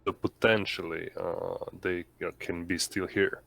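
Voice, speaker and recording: A man chatting as a player in a tabletop roleplaying game, halting and often questioning. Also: low-pitched, level tone, gravelly, a bit bright, no echo. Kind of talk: casual tabletop game chat.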